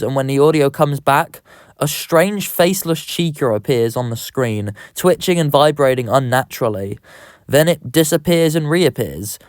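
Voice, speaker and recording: Guy narrating a horror video from a script, voice a bit on the high side, close dry mic. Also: clean tone, no room sound.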